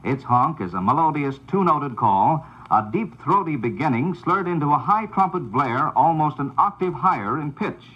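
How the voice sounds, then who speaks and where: A man's voice: fairly high pitch, expressive, breathy edge. Man narrating on a muffled old record. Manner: measured, formal, announcer-like delivery. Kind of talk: scripted instructional narration.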